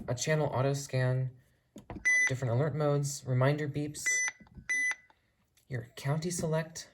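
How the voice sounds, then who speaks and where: Casting: earnest, rambling enthusiast for a casual collection tour, medium pitch, slightly noisy homemade recording. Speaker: hobbyist video creator showing a radio collection.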